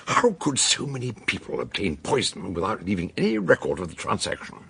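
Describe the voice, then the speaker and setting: An actor playing an eccentric, blunt, aging detective in a radio drama, with a gravelly, husky British voice and theatrical, probing manner. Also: male voice, sits low, wildly swooping pitch, sharp tone, heavily acted. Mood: probing, exclamatory. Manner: theatrical exclamations, deliberate questioning.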